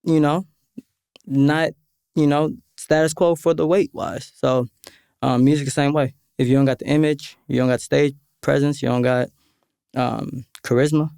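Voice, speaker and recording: A soft-spoken man talking as a musician guest in a laid-back podcast chat, often saying "exactly". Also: a little high, steady tone, normal speed, creaky, clean tone, dry recording, studio quality. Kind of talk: casual podcast interview.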